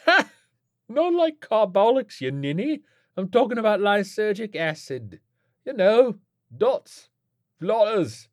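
A man's voice: high-pitched, very animated, slow, no echo, studio quality, voice pushed up, exaggerated voice. A man voicing a cheeky, carefree rock musician in a story, matey, teasing and crowing. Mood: gleeful, teasing. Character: cheeky, carefree male rock musician, drug-pushing party animal.

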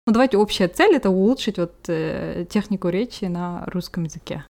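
A woman's voice: lowish voice, lively intonation, fairly quick, a little mellow. A woman co-hosting a casual podcast, thinking aloud in a hesitant way with frequent fillers and restarts. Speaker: podcast co-host.

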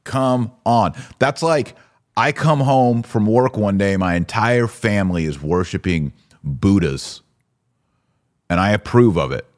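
A man's voice: lowish voice, lively intonation, a little slow. A man hosting a podcast, giving opinionated religious commentary in an emphatic, exhorting manner with rhetorical questions. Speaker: podcast host.

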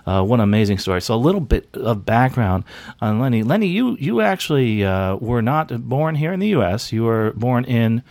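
A man's voice: mid-range voice, expressive, fairly quick, darkish tone, dry recording. A man hosting an interview, enthusiastic and often repeating words as he thinks aloud. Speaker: podcast host. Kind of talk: interviewing a guest.

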